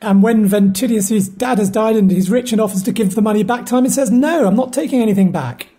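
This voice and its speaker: A woman's voice: on the high side, fairly quick, dark tone, husky. A woman casually explaining and discussing a story in conversation.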